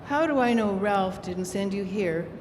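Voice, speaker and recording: Hard-bitten, wary female outlaw actress, blunt and unhurried, vintage radio play in an echoing hall. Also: mid-range voice, expressive, natural voice. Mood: wary, bitter. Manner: blunt, earthy, folksy ungrammatical speech. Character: tough, suspicious woman smuggler guarding stolen gold. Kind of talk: old-time radio drama stage acting. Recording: echoey live hall, faint noise.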